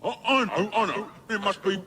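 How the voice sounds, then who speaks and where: A man's voice: high-pitched, moderate pace, crowd noise, close to an everyday voice. An actor playing a big, boastful, dim-witted battle robot in a radio sci-fi comedy, blustering and stammering as he puzzles things out. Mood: eager, puzzled.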